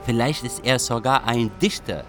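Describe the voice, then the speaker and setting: A man playing a reflective train traveller and writer, narrating in a measured, literary radio drama manner. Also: mid-range voice, music in the background, close to an everyday voice. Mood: observant.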